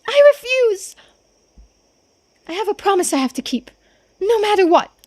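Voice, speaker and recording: A woman's voice: very high-pitched, very animated, normal speed, darkish tone, voice pushed up. A woman voicing an anxious, earnest young woman from a visual novel, sweet but stubborn and often hesitant. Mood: alarmed, defiant.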